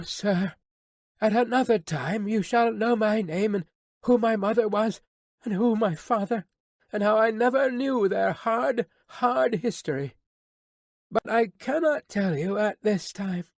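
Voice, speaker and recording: A woman's voice: medium pitch, measured pace, darkish tone, no echo, studio quality, voice pushed up. A woman voicing a young woman, a tender daughter, who pleads earnestly and repeats her refrains. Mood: pleading. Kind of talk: audiobook character reading.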